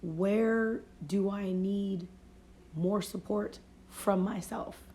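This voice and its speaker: A woman's voice: mid-range voice, fairly even intonation, extremely slow, dark tone, a little hiss or hum. A woman life coach with a cold, talking casually and reflectively on a phone livestream, repeating and rephrasing her points. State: has a cold, voice fading. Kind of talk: motivational casual talk. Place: home livestream.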